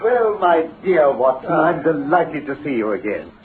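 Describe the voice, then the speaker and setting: A man with a British accent playing a confident, precise gentleman detective in an old-time radio drama. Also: a little high, big pitch swings, normal speed, faint music behind. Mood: genial, probing. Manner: precise, articulate, self-assured. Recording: muffled, phone-like old radio recording with hiss.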